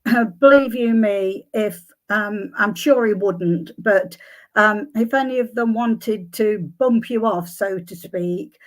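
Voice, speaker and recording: An older woman, a psychic guest, answering questions with many pauses and restarts, her voice a little croaky. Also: mid-range voice, rough recording. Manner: hesitant, many ums and restarts. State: slightly croaky voice. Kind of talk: conversational interview answers.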